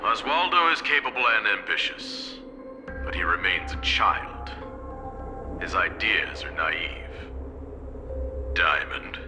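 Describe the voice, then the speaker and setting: An actor playing a stern, calculating old corporate patriarch in a video game, with measured, formal and authoritative speech. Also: male voice, on the low side. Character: stern, calculating elderly male corporate elder. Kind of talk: dramatic video game voice acting. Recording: loud music behind the voice. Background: music.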